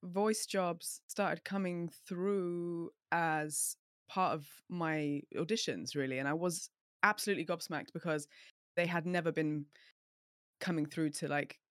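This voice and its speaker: A woman's voice: on the low side, a little slow, dry recording. Woman actor chatting in an interview, lots of ums and laughs, dry close mic. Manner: chatty, animated, laughs often, many fillers. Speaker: guest, voice actor. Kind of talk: casual interview storytelling.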